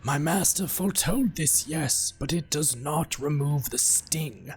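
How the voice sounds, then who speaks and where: A man voicing an enigmatic hooded leper, a slow, formal cosmic gambler in a dark fantasy lore reading. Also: a little high, voice pushed up, close to an everyday voice. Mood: bitter, defiant. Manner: slow, deliberate, grandly formal. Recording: background music under the voice.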